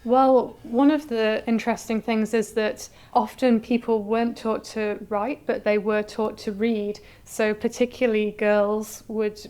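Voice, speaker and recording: Smooth, even-toned woman explaining. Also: fairly high pitch, measured pace, few pauses. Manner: articulate, explanatory. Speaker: exhibition curator being interviewed. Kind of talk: informative interview answers.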